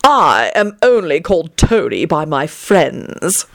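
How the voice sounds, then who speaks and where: A woman's voice: medium pitch, very animated, measured pace, dry recording, studio quality. A woman voicing an ancient, haughty male wizard official who speaks icily and pompously. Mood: icy. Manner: icy, sneering, pompous.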